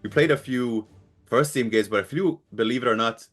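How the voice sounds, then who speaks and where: Guy hosting a football podcast, rambly friendly questions, laughs a lot, normal pitch. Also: moderate pace, faint music behind. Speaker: podcast host. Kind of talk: casual interview.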